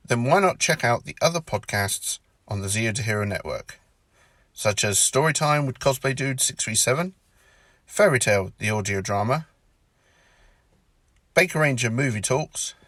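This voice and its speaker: A man's voice: medium pitch, fairly bright tone, dry recording, heavily acted. Man acting a flustered, swooping reluctant announcer. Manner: grudging, exasperated. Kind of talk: comic scripted podcast promo.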